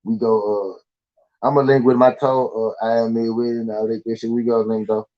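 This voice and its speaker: A man's voice: mid-range voice, flat delivery, moderate pace, dull audio. A man with a Southern US accent hosting a live stream, chatting casually in a laid-back, slangy, rambling way with lots of fillers. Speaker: podcast host.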